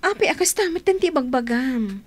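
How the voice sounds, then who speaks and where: A woman's voice: sits high, lively intonation, moderate pace, no room sound. A woman with a Filipino accent playing a loyal, wistful young office worker in a radio drama. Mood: reluctant.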